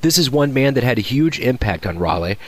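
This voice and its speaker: A man hosting a jazz radio show, reading scripted introductions in a smooth, unhurried announcer delivery from a studio. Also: medium pitch, big pitch swings.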